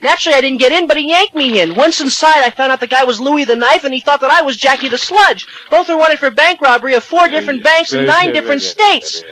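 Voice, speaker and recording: A man's voice: very high-pitched, fast talker, few pauses, pushed and bright, poor audio. A man playing a frantic, melodramatic young male student with a whiny delivery in an amateur comedy skit. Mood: aggrieved.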